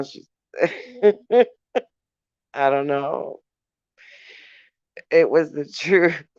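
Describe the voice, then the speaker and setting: A woman chats slowly and casually on her live stream, reading out viewer comments and breaking into giggles between remarks. Her voice is smooth and clear, but close and dry with no room sound. The stream audio is slightly dull and lo-fi. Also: low voice, dry recording. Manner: affectionate toward viewers.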